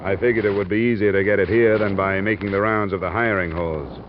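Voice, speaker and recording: Actor as low-voiced hard-boiled American radio detective. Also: male voice, steady tone, brisk, slight background noise, natural voice. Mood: firm, unruffled. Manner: clipped, matter-of-fact. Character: hard-boiled male insurance investigator, cool and terse. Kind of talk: 1940s-50s radio detective acting and narration. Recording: dull old radio recording with music.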